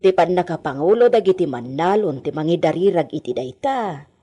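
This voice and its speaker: A woman's voice: fairly low pitch, expressive, fairly quick, dark tone, breathy edge. A woman playing a loyal, anxious Filipina household servant in a radio drama, exclaiming and deferential. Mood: concerned. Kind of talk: Ilocano radio drama acting.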